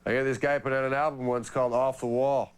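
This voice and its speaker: An actor playing a smug middle-aged suburban dad who grumbles in corny jokes. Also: male voice, medium pitch, little pitch movement, fast talker, clear, resonant tone, lightly acted. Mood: smug mocking. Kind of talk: pre-taped comedy sketch.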